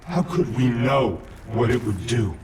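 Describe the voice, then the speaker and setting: An actor playing a grim, jaded soldier in a video game, recounting things in a plain-spoken, colloquial way. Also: male voice, medium pitch, expressive, measured pace, far from the mic, very noisy, lightly acted. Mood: grim, reflective. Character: jaded soldier grimly explaining what went wrong. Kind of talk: cinematic video game narration.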